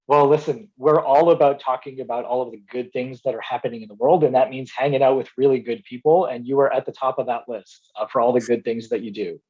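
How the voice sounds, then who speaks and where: Husky male host, lo-fi sound. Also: medium pitch, steady tone, fast talker, hardly any pauses. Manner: enthusiastic, chatty. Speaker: show host. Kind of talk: upbeat hosting banter.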